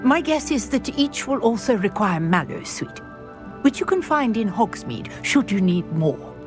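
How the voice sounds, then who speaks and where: A woman's voice: on the high side, very animated, measured pace, music in the background. A woman voicing an eager, chatty witch archaeologist in a video game, prone to exclaiming in wonder. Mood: brisk, friendly. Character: excitable scholarly witch, archaeologist obsessed with Merlin.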